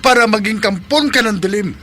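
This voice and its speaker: A man's voice: sits high, a little fast, very noisy, close to an everyday voice. An actor playing an obsessive, menacing male flesh-eating monster in love, in a melodramatic horror radio drama, intense and domineering. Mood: pleading. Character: obsessive male aswang villain, menacing, lovesick.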